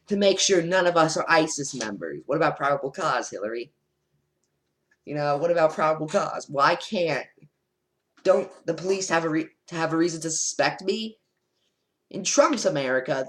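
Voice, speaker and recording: Animated sarcastic male vlogger. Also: fairly high pitch. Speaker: political commentator vlogger. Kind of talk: casual reaction commentary over notes.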